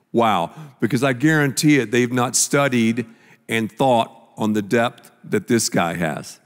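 An older man, a pastor, telling a story in a stage sermon dialogue on a large church stage with a handheld mic. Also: mid-range voice. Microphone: handheld microphone. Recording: long hall reverb.

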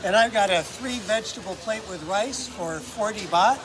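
A man's voice: high-pitched, normal speed. An older American man chatting upbeat and casual as a travel vlog host in a shopping mall food court. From United States. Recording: noisy mall ambience.

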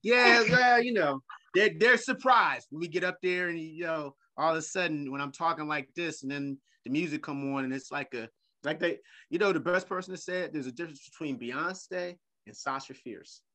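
A middle-aged man talking as a guest on a video call, animated and playful, often repeating his words. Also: a little high, normal speed. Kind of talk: casual video-call interview. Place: home room with leather couch.

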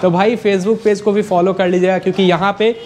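A man's voice: high-pitched, flat delivery, fairly quick, darkish tone, slight background noise. A young man hosting a casual vlog walkthrough in an indoor showroom, chatty and repeating the dealer's answers for his viewers, on a lapel mic. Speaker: vlogger host. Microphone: clip-on lapel mic.

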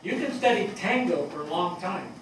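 An older man acting a plainspoken, earnest fire captain in a stage play reading, talking colloquially and haltingly with emphasis. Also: high voice, animated, a bit bright. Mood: shy enthusiasm. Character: plainspoken, earnest New York fire captain reminiscing fondly. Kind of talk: dramatic stage reading, conversational. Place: on stage in a theatre before an audience. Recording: distant mic, echoey hall, audible noise.

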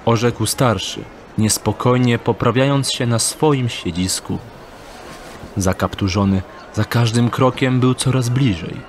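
A man narrating an audio drama in a measured, deliberate storytelling manner. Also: medium pitch, slight background noise.

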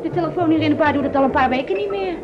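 An actress with a Netherlands Dutch accent playing a brisk, helpful bartender in an old radio play. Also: female voice, sits high, a crowd in the background, lightly acted. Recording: muffled old radio recording, music behind.

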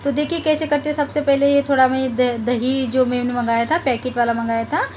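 Middle-aged lady vlogging round her house on her phone, high voice, chatty, lots of umms, bit of hiss behind. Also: fairly even intonation, fairly quick, a little mellow. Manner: chatty, homely, hesitant with many fillers and restarts. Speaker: home vlogger. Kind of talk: casual vlog narration. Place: home and courtyard, indoors and outdoors.

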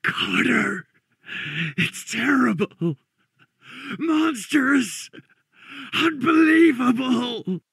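A man's voice: very high-pitched, animated, drawn-out words, long pauses, fairly bright tone, no room sound, studio quality, voice pushed up, full character voice. A man voicing a terrified man in a gothic horror story, pleading frantically and despairingly in a shaky, strained voice. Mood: horrified despair. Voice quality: shaky, strained, breathy cries. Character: terrified man crying warnings from deep inside a crypt.

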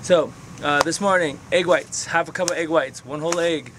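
A man in his late twenties talks straight to his camera out on open ground, rambling and frank, with some casual swearing. His voice sits a little high for a man. A faint outdoor hum runs under the camera mic. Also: moderate pace. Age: 20s. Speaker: vlogger. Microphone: camera mic, close framing.

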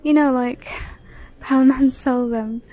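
A woman's voice: high-pitched, fairly even intonation, slow, warm tone, rough recording. A young woman chatting casually as she browses a decor store, reading out prices, filmed on a handheld phone. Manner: chatty, reads out prices, sometimes drops to a whisper. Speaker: vlogger. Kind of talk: casual shop-with-me commentary. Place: inside a large home decor store. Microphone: handheld phone camera.

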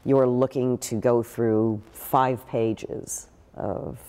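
An older actress telling stories in a seated interview, with a deep, big voice and lively gestures. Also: female voice, extremely slow, in a large hall. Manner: expressive, gestures a lot while talking. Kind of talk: animated interview storytelling. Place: living room with a pool table, indoors.

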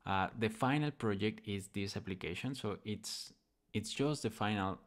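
A man hosting a casual software tutorial, talking informally and thinking aloud as he demonstrates on screen. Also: fairly low pitch, normal speed. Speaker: programming tutorial host.

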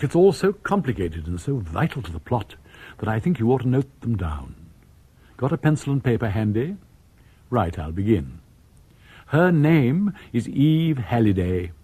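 An actor playing a genial older English author, narrating a radio drama conversationally and straight to the listener. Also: male voice, fairly low pitch, dark tone, slightly rough, no echo. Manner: conversational, addressing the listeners directly. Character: genial older male author telling his own story.

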